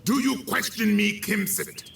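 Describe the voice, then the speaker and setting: A man's voice: high voice, steady tone, creaky, fairly bright tone, full character voice. A man voicing a menacing cyclops general in a video game, cold, imperious and theatrical. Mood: angry. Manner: slow, theatrical, ominous. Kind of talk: video game cutscene acting. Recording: echoey, with music behind.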